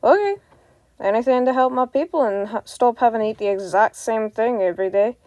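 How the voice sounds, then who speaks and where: Woman playing a high-voiced, agreeable male elf king. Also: expressive, a little fast, a little mellow, no room sound, muffled recording, close to an everyday voice. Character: helpful male elf king of a mountain kingdom. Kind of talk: amateur podfic character reading.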